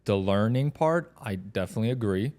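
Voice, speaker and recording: A man's voice: fairly low pitch, lively intonation, a little fast, darkish tone. A man from Poland, Polish his first language, co-hosting a podcast in hesitant casual chat, full of "like" and "right". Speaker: podcast co-host.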